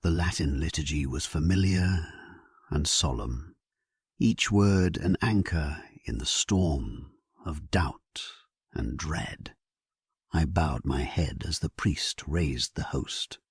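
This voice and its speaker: A man narrating a sleep story as a grave, resolute English king, in a solemn, measured, dramatic way with a husky, breathy voice. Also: very deep voice, dry recording, clean studio sound, voice pushed down, heavily acted. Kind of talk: scripted first-person sleep-story narration.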